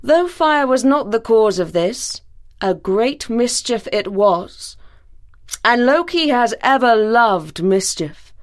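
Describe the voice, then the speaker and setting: Woman reading a wise elderly male chief god, measured and slow; storybook. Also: medium pitch. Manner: measured, pronouncing judgment.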